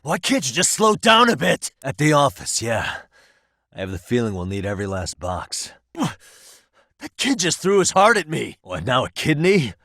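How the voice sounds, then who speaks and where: A man's voice: mid-range voice, huge pitch swings, normal speed, sharp tone, no room sound, heavily acted. An actor in his thirties with an American accent playing an easygoing, wry private detective in casual video game dialogue. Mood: exasperated, straining. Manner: casual, dry wry humour.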